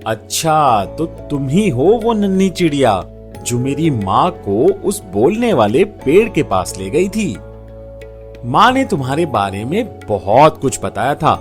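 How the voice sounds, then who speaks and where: A man plays an earnest, eager young village son in a moral tale. He speaks slowly and emphatically with lively swings in pitch, over light music. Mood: curious.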